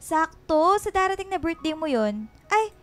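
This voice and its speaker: An extremely high-voiced, bossy young woman teases her best friend in a Filipino radio drama. She is slangy and exclamatory. Soft music sits behind her. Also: big pitch swings, moderate pace. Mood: excited.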